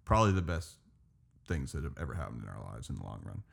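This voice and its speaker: A man with a very low, gravelly voice talks in a relaxed, casual podcast chat. His pitch rises and falls a lot as he explains things, and he drops the odd swear word. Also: fast. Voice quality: deep voice. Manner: laid-back, swears casually, laughs often. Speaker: podcast co-host.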